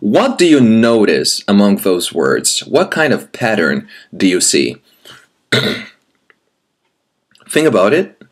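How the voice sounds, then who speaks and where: A man's voice: fairly low pitch, big pitch swings. Brazilian man in his thirties teaching. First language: Brazilian Portuguese. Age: 30s. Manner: friendly, addresses the viewer directly, pauses with "um". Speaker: language teacher. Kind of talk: recorded teaching application and sample lesson.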